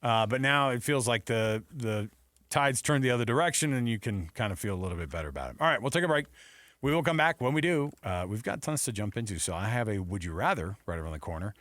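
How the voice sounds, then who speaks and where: A man's voice: medium pitch, expressive, fast, a bit bright, no room sound, studio quality. An American man co-hosting sports talk radio in a casual, conversational way with rhetorical asides.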